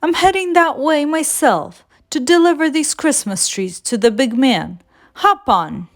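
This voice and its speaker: A woman's voice: high-pitched, animated, a little slow, voice pushed up. A woman voicing an easygoing, helpful male delivery truck in a children's storybook, with a folksy manner. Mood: cheerful, helpful.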